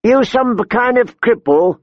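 A man's voice: very high-pitched, lively intonation, slow, no echo, lo-fi sound, voice pushed up, exaggerated voice. A man voicing a short, vicious outlaw woman, crude and bossy, in an audiobook story. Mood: vicious contempt.

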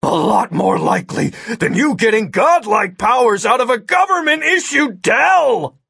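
A man voicing a hot-tempered, indignant male federal agent who shouts and whines his exasperated protests, in an audiobook. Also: extremely high pitch, lively intonation, measured pace, vocal fry, slightly rough, no echo, studio quality, pitched above the performer's own voice, exaggerated voice. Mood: whining, exasperated. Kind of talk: audiobook character voice.

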